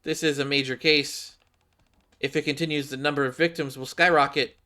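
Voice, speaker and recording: A man's voice: fairly high pitch, fairly even intonation, sharp tone. A man voicing an earnest, formal young female detective in a game, primly self-assured and pompous. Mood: grave. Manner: formal, pompous, deliberate wording. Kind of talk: game cutscene read-aloud.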